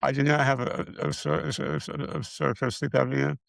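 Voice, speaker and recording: Man, middle-aged, very gravelly raspy voice, stuttering and halting, short of breath, dry close sound. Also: mid-range voice, little pitch movement, no room sound. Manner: halting, with frequent stutters and repeated syllables. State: short of breath, struggling to get words out. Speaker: video creator sharing his illness progress. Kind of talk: casual personal health update.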